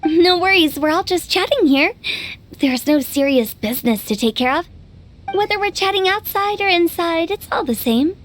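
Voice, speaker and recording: A woman's voice: very high-pitched, expressive, moderate pace. A woman playing a playful, mischievous young parlor director in a video game, breezy, theatrical and persuasive. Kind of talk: video game character acting.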